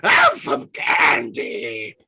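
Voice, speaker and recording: A woman voicing a gleeful, power-mad monster villain in an animated film table read, rough-edged, theatrical and taunting. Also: drawn-out words, a loud crowd. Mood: manic taunting. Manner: theatrical, taunting, swooping between phrases.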